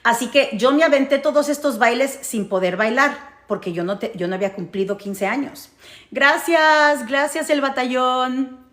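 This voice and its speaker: Higher-voiced Mexican-accented woman in an echoey room. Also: moderate pace, fairly bright tone. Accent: Mexican Spanish. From United States, grew up in New Jersey. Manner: emphatic, repeats phrases for effect, checks in with listeners. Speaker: storytelling vlogger. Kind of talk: casual personal storytelling to followers.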